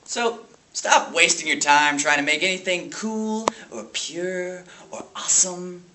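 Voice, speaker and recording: A man's voice: sits high, a little slow, voice pushed up. A man performing a theatrical monologue as an angry, defeated substitute teacher, sarcastic and explosive, ranting at children. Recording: echoey room with faint noise.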